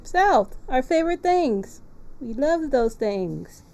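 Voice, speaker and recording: Mellow-voiced woman streaming casually. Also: sits high, sing-song, takes it slowly. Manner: chatty, informal, repeats phrases. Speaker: streamer hosting a visual novel playthrough. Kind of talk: casual live-stream chat. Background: music behind the voice.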